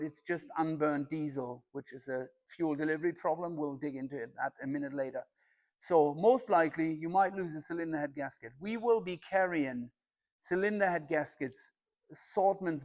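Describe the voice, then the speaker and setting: A man's voice, pitched a little higher than average, hosts a casual explainer vlog. He talks like a practical skipper addressing his followers, laying out each point in a plain-spoken, orderly way. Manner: plain-spoken, matter-of-fact, methodical explaining. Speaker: boat owner and video channel host, captain.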